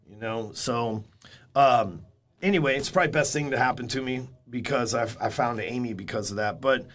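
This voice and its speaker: A man's voice: mid-range voice, moderate pace, fairly bright tone. A man in his forties, a guest in a casual interview, telling stories in a blunt, candid way and swearing freely. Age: 40s.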